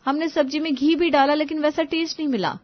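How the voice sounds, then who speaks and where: Scheming young daughter-in-law for a cartoon family tale, played by a female narrator, high voice, dry recording. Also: fairly even intonation, fast talker, dry recording, dull audio, lightly acted. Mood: polite, puzzled. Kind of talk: cartoon story dialogue.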